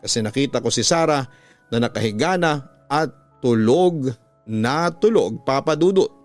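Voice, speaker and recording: Filipino guy telling a dramatic radio story, medium pitch, music playing behind him. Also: animated, normal speed. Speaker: radio drama host and narrator. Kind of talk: dramatic scripted story narration. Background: background music.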